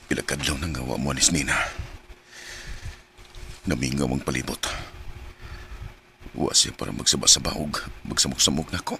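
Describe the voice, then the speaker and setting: Deep-voiced, stubborn lovelorn man, Cebuano. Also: fairly even intonation, moderate pace, pauses often, pushed and bright, slight background noise, heavily acted. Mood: regretful, longing. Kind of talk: Filipino radio drama acting.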